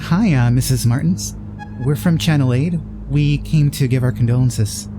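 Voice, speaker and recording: A man's voice: mid-range voice, moderate pace, music in the background, pitched above the performer's own voice, lightly acted. A man voicing an ambitious, opportunistic female TV reporter in a horror game, in an assertive, matter-of-fact way. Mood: polite, persuasive. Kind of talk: reading game dialogue in character.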